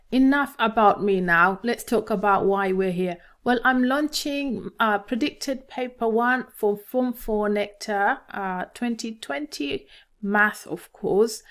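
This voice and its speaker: A middle-aged woman from Tanzania with a Tanzanian accent, talking to students as an encouraging maths teacher. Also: medium pitch. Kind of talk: instructional talk to camera for students.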